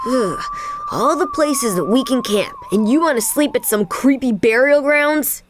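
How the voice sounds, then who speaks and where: A woman's voice: sits high, expressive, unhurried, background noise. A woman voicing a cocky ten-year-old boy hero in a video game cartoon, brash and sarcastic. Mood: grumbling, uneasy.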